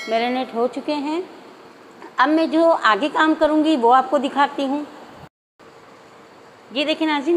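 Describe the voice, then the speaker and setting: High-voiced woman calmly teaching a recipe. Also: a little hiss or hum, rough recording. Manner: calm, methodical, addresses viewers directly. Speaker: cooking channel host. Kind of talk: step-by-step cooking instruction. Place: home kitchen, hands only on camera.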